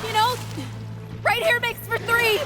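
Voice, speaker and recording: A woman's voice: very high voice, flat delivery, measured pace. An actress playing a tough, foul-mouthed woman fighter in a video game, with a brash, combative delivery. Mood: shouting, defiant. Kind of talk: video game cutscene acting. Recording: loud music and game battle effects.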